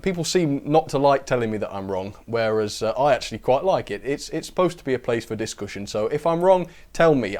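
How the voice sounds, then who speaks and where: A man hosting a casual live stream, chatty and self-deprecating, his voice a little rough from a cold. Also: mid-range voice, a little mellow. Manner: chatty, self-deprecating, invites viewers to correct him. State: a bit of a cold, voice recovering.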